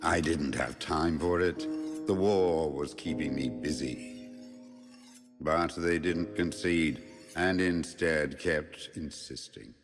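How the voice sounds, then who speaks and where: Game narrator: Death, grave and ancient, telling a dark fable very slowly, extremely deep, drenched in long reverb. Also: male voice, full character voice. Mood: grave, ominous. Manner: solemn, deliberate storytelling. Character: Death, grave ancient spirit recounting whom it took. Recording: long cavernous reverb, music behind.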